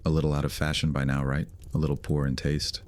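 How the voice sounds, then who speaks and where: A man's voice: very deep voice, little pitch movement, fast talker. A man voicing a cocky, teasing male vampire loner in a fantasy audio drama, with a sarcastic, casual manner.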